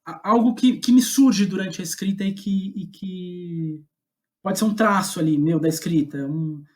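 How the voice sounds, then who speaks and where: A man with a Brazilian Portuguese accent, reflecting in a podcast interview as a guest playwright, searching for his words as he goes. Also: high-pitched. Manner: halting, thoughtful, searching for words.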